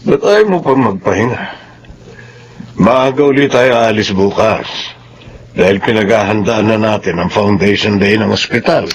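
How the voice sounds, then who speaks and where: A man's voice: fairly low pitch, lively intonation, normal speed, slight background noise, natural voice, poor audio. Man as a stern, domineering Filipino father. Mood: firm. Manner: authoritative, firm. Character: stern, domineering middle-aged father, hospital-owning doctor. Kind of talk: Filipino radio drama acting.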